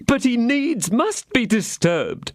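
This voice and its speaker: A man's voice: very animated, takes it slowly, no echo, clean studio sound, exaggerated voice. A man putting on a wailing fretful innkeeper. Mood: fretful, pleading. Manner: whining, pleading, high and strained. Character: anxious wailing male innkeeper, fussy and fretful.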